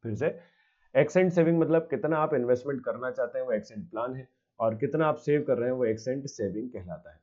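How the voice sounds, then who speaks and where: A man's voice: medium pitch, fast, mellow, lo-fi sound. A male commerce teacher giving a recorded exam-prep lecture, explaining step by step and answering his own questions. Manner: mixes English terms into his speech.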